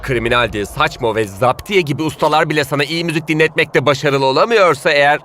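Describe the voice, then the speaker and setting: An actor voicing a laid-back cartoon footballer who loves music and hypes it up in a chummy, showy way. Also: male voice, fairly high pitch, fast, sharp tone. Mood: persuasive. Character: laid-back, cool music-loving male footballer, enthusiastic buddy. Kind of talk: animated cartoon dub acting.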